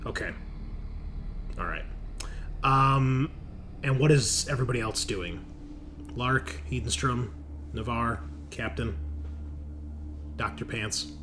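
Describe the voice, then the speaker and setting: A man's voice: mid-range voice, slow pace, pauses often, noisy background. A man running a tabletop roleplaying game as game master, narrating and prompting the players conversationally.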